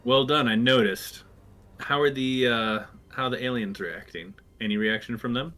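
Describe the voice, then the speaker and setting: A man's voice: medium pitch, normal speed, bright, forceful tone. A man playing a tabletop game, speaking steadily as his crew's captain. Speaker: player. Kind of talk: casual game talk.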